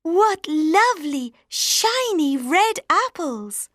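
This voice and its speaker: A woman's voice: very high-pitched, big pitch swings, extremely slow, a bit bright, no echo, clean studio sound, pitched above the performer's own voice, full character voice. A woman voicing an innocent young princess in a storybook, with a light, girlish manner. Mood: sweet.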